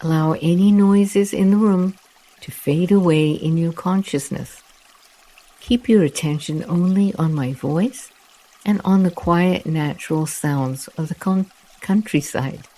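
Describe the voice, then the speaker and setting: Slow, mellow meditation guide. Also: mid-range voice. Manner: soft, soothing, unhurried delivery with long pauses.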